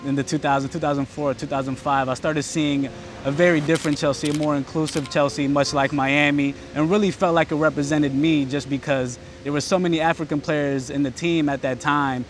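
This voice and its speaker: A man from Ethiopia talks as an interview guest outdoors in a city. His voice sits a little high, and he speaks in calm, steady, thoughtful sentences. Faint music plays in the background. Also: fairly quick. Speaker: guest, football fan. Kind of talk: casual interview.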